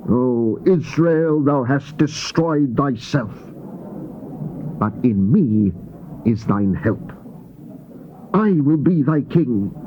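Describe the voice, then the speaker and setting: A man gives a grave, theatrical scripture reading, declaiming with deliberate phrasing and emphatic pauses. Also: on the high side. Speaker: scripture narrator. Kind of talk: dramatized Bible reading, solemn and theatrical. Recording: dull, noisy old cassette tape transfer.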